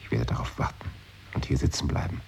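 A brooding man in a radio play, his voice sitting at the very bottom of the range. He muses slowly and often slips into a hushed whisper. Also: rumbling low, fairly even intonation, gravelly. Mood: wistful. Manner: musing, often drops to a whisper. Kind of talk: 1980s radio play acting.

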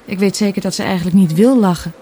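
A woman's voice: medium pitch, quick pace, dark tone, some chatter behind, natural voice. A woman playing a guarded, melancholy young adult daughter in a naturalistic Dutch radio drama. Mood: resigned.

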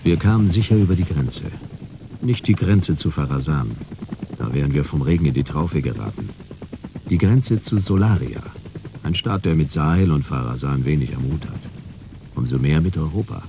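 A man's voice: very deep voice, lively intonation, moderate pace, over music. An actor playing a laconic detective who narrates his case in a dry, wry way in a radio play. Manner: dry, wry, laid-back. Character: laconic hard-boiled detective telling his own case.

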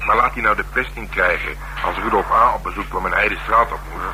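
An actor playing a gruff, streetwise police detective in a Dutch radio drama, talking in a dry, wry and slangy way. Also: male voice, on the low side, quick pace, sharp tone. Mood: wry, matter-of-fact. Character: male police detective, gruff, streetwise, worldly, asking a favour. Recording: noisy radio drama recording.

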